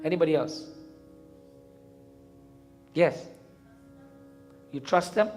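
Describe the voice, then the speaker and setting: High-voiced Guyanese man preaching, music behind. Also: animated, a little mellow. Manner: didactic, questioning, deliberate repetition. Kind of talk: interactive sermon. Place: live event in a temple before a congregation.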